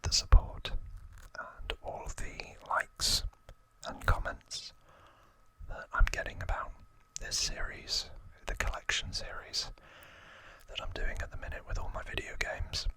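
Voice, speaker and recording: A man whispers slowly as an ASMR host. His voice is all breath, with crisp, very bright sibilant edges. He keeps a gentle, hushed narration, lingering on words. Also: no room sound, poor audio. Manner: slow, gentle, hushed delivery with drawn-out words.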